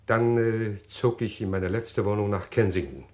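A man's voice: on the low side, fairly even intonation, darkish tone, natural voice. An actor playing a haughty, guarded murderer who tells his own story in a vintage radio play. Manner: measured, haughty, hesitant.

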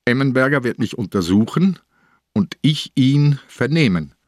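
An actor playing a shrewd, ailing old police commissioner in a radio drama, terse and probing. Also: male voice, mid-range voice, animated, unhurried, no room sound, clean studio sound. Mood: shrewd, resolute. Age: older.

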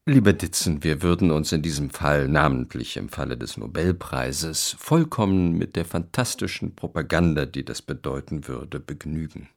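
A brisk formal publisher in a radio play, really low voice, reads letters out emphatically. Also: male voice, gravelly, heavily acted. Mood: wry. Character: formal, businesslike male publisher, benevolent and brisk.

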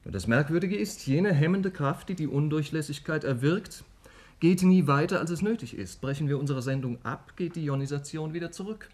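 An actor playing an excitable, plain-spoken research scientist with emphatic delivery in a 1950s German radio drama. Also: male voice, fairly high pitch, a little fast, close to an everyday voice. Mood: puzzled, insistent.

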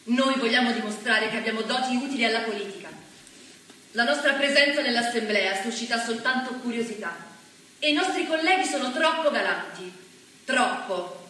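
A woman's voice: on the high side, moderate pace, pushed and bright. A woman declaiming proudly and indignantly, recorded from far off on a very echoey stage. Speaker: stage actress. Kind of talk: theatrical declamation.

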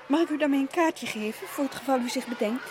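Een actrice die in een hoorspel een beleefde maar volhardende maatschappelijk werkster speelt. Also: female voice, sits high, fast talker, noisy background, natural voice. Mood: polite, persistent. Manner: courteous, insistent. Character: polite, persistent female social worker. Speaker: radio drama actor. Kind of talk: Dutch radio play dialogue.

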